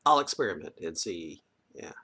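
Halting, low-voiced middle-aged man. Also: very animated, sharp tone, slightly rough. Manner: halting, hesitant, trailing off. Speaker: video maker. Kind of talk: casual webcam talk. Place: indoors, wood-panelled room at home. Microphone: computer or webcam microphone, near arm's length. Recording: noisy webcam microphone with room ambience.

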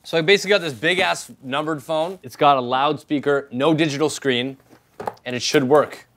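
A man in his twenties hosting a vlog, talking casually and colloquially in an animated manner. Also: fairly high pitch, moderate pace, a little hiss or hum. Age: 20s. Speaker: vlog host. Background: music.

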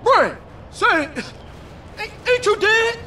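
An actor playing a muddled, irritable old gun dealer in a video game, with halting, confused delivery. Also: male voice, very high voice, bright, forceful tone, slight background noise, exaggerated voice. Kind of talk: video game cutscene acting.

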